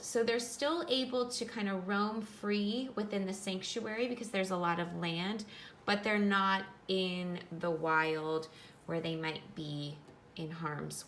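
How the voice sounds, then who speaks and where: A woman with a bright, forward voice reads aloud as a teacher would to young pupils. Her delivery is instructive, with simple wording meant for children. Her pitch sits in an ordinary middle range for a woman. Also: slight background noise. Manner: instructive, explains words in simple terms. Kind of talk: children's nonfiction read-aloud with explanatory asides.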